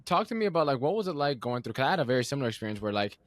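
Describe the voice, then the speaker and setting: A young adult man hosting a podcast, chatting in a relaxed, conversational way with casual slang. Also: medium pitch, racing, no echo. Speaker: podcast host. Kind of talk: casual interview chat.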